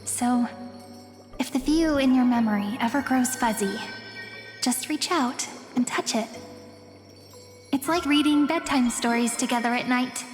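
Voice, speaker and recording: A woman playing a gentle, wistful young woman in a video game, with soft, lyrical phrasing and quiet playfulness. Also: sits high, a little slow, pauses often, big-room sound. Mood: tender, wistful. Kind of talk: scripted video game character acting. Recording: music behind the voice.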